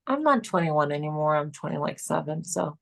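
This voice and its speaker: Low-voiced Georgian woman in her twenties. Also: expressive, brisk, vocal fry, fairly bright tone. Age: 20s. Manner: rambling, filler-heavy, keeps saying "like". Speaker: guest. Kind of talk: casual chat.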